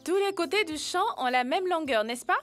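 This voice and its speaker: A woman's voice: extremely high pitch, expressive, a bit bright, faint music behind. A woman voicing a clever, encouraging young child in an educational cartoon, reasoning step by step. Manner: deliberate, step-by-step.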